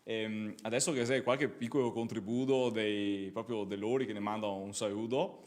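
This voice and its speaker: A man's voice: on the low side, fairly even intonation, moderate pace, darkish tone. A man with a Venetian accent informally presenting a live event, hesitant and improvising as he goes.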